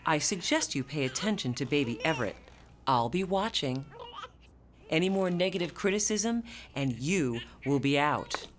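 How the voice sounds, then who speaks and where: A woman's voice: low voice, animated, moderate pace, background noise. A woman acting in a radio play as an officious, rule-bound head nurse, formal and authoritative. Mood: indignant, icy.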